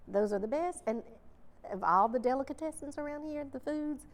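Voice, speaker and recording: A woman's voice: sits high, animated, normal speed, crowd noise. Woman speaking in an echoey hall. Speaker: interviewee, civic leader. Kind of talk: reflective casual panel answers. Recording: echoey hall with faint noise.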